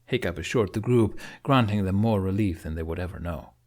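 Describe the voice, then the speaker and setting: Low-voiced male audiobook narrator. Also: brisk, darkish tone. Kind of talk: dramatic scripted storytelling.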